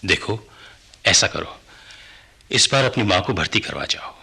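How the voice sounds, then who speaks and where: A man playing an avuncular older family doctor in a radio drama, familiar and reassuring, with a raspy voice. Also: lowish voice, lively intonation, unhurried, sharp tone, heavily acted. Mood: brisk, concerned. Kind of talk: Hindi radio drama acting.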